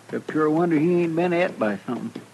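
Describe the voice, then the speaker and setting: An actor playing a folksy, hard-up frontier sidekick with a rural Western drawl in an old-time radio western. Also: male voice, on the high side, animated, fast, vocal fry. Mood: scornful, animated. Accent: rural Western American drawl. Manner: folksy, rambling, colloquial. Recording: noisy old radio drama recording.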